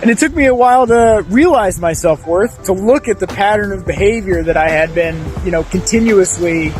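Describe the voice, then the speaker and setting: A man with a notably high voice introduces himself as a life coach, setting his words down in slow, deliberate phrases. Soft music plays faintly beneath him. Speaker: life coach and podcast co-host. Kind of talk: personal introduction, reflective monologue.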